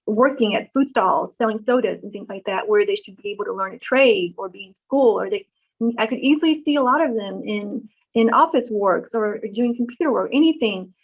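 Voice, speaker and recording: A middle-aged woman born in Vietnam, answering questions in an oral history interview, pausing often with ums and restarts. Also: mid-range voice, gravelly, husky. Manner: thoughtful, many ums and restarts. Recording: dull lo-fi recording.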